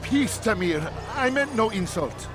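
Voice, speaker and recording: High-voiced beleaguered smith. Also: male voice, lively intonation, a little slow, crowd noise. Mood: defensive. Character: beleaguered male weapon smith pleading his case. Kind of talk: video game cutscene acting. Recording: game music behind the voice.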